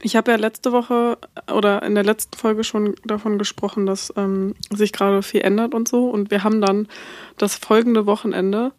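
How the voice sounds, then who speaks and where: A woman co-hosting a podcast in relaxed, easygoing casual chat, laughing often. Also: mid-range voice, moderate pace, no room sound. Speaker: podcast co-host. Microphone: remote recording, separate from co-host.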